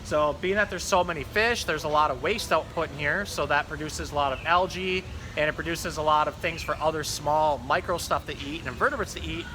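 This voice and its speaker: A man with a slightly high voice talks casually and informatively as a zookeeper host. There is noticeable ambient noise behind him throughout. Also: fairly quick. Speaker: zookeeper host showing pond fish. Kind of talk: casual educational talk.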